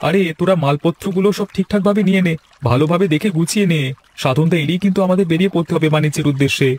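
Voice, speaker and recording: A man's voice: on the high side, rapid-fire, a little mellow, lightly acted. A man voicing a busy boat trader in a Bengali cartoon, hearty and businesslike. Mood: eager, businesslike. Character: busy boat trader organising his crew. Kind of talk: Bengali cartoon story dubbing.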